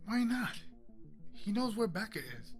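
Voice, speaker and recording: An actor playing an impulsive, exasperated brother in a naturalistic audio drama, with a sarcastic way of talking. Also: male voice, high-pitched, very animated, unhurried, soft background music. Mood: impatient. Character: George, impulsive, sarcastic brother eager to open the door.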